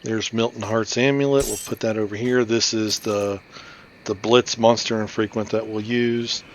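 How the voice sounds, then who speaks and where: A man's voice: lowish voice, fairly even intonation, normal speed, slight background noise. A man giving casual commentary as he plays through a game. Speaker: gaming video host.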